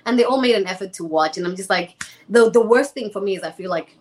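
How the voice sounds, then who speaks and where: A young woman from Zimbabwe, a guest in an interview, talking in a lively, chatty way with many restarts. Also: mid-range voice, fast talker, slight background noise. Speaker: interview guest, former reality show contestant. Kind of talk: casual chat.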